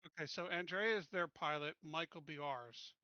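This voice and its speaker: A man's voice: a little high, moderate pace, bright, forceful tone, no room sound, lo-fi sound. A man playing a tabletop game, talking offhand and blunt with casual swearing. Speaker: player.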